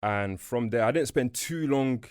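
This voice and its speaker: A young man from Portugal whose first language is Portuguese, a podcast guest chatting casually in relaxed slang, saying "bro" and "you feel me" a lot. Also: mid-range voice, a little slow, clear, resonant tone, dry recording, clean studio sound. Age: young adult. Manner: laid-back street slang, fillers like "you feel me", "bro". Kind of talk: casual interview chat. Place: podcast studio.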